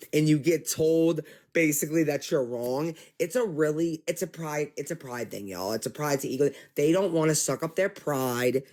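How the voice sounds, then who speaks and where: A man giving casual livestream commentary, exasperated and animated, talking straight to his viewers. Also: fairly high pitch. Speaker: commentary streamer.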